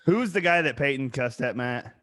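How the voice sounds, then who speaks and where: A man's voice: mid-range voice, expressive, normal speed, a bit bright. A man co-hosting a casual sports podcast, rowdy and laughing with crude jokes. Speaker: podcast co-host.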